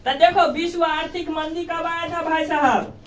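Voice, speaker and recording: A woman's voice: extremely high pitch, fairly even intonation, normal speed, a little hiss or hum. A woman with a Bihari accent teaching an informal school lesson, colloquial and exhorting, often asking rhetorical questions and checking that pupils follow. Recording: echoey room, distant microphone.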